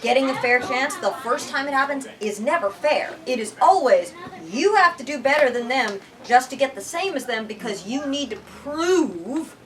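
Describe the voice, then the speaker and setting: A woman reading a story aloud to a group in an expressive, emphatic way. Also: high-pitched, moderate pace, hardly any pauses. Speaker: bookstore lit talk leader reading aloud. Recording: distant microphone, room with audience noise.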